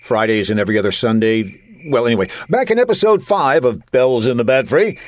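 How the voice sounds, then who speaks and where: A man's voice: mid-range voice, animated, a little fast. A man hosting a scripted comedy podcast, bantering and making announcements.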